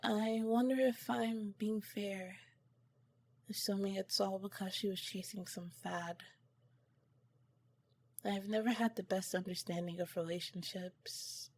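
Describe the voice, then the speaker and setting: A woman playing an awkward, earnest female alien scientist in an ASMR roleplay, soft-spoken, with formal, clinical wording and flustered hesitations. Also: mid-range voice, fairly even intonation, measured pace, long pauses. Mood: reserved, wistful.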